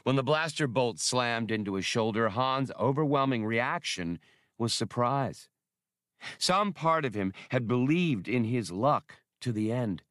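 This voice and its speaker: Guy narrating an adventure audiobook, dramatic reading, lots of pitch movement, no room sound. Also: lively intonation, unhurried, fairly bright tone, no echo, clean studio sound. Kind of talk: dramatic scripted fiction narration.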